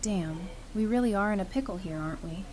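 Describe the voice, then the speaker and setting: A young woman survivor, voiced by an actress in an audio drama. She is wry, casual and warm-hearted, teasing in a mid-range voice. Rain runs clearly behind her. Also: mid-range voice, fairly quick, slight background noise, close to an everyday voice. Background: rain ambience.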